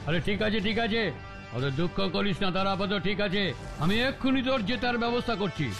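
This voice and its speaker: A man playing a cunning, excitable sports coach in a cartoon dub, emphatic and given to repeating words. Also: sits high, a little fast, over music. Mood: coaxing. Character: scheming male running coach, excitable and cunning. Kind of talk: cartoon dubbing.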